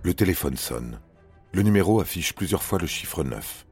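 A man's voice: deep voice, lively intonation, moderate pace, darkish tone, slightly rough, faint music behind. A man narrating scripted paranormal stories in a grave, suspenseful, dramatic manner. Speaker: narrator of a paranormal true-story podcast.

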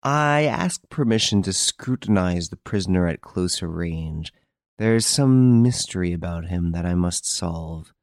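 A solemn detective read by a male narrator testifies in a courtroom story. He speaks deliberately and gravely in a low voice, with a dry recording. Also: lively intonation, no echo.

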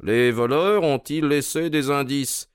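Give me a keen, middle-aged detective, read by a man, crisp and probing. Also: medium pitch, unhurried, dry recording, clean studio sound, pitched above the performer's own voice, natural voice. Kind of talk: audiobook character reading.

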